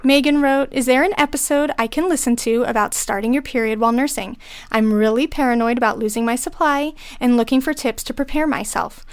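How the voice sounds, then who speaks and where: Woman, podcast host, high voice, dry studio sound, clearly read scripted lines. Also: fairly quick, dry recording. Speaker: podcast host and lactation consultant. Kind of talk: scripted show hosting.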